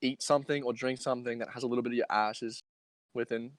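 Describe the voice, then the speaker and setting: Young male podcast co-host, smooth mid-pitched voice, rambling irreverent banter, close dry sound. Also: little pitch movement, fast talker, dry recording. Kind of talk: casual comedic chat.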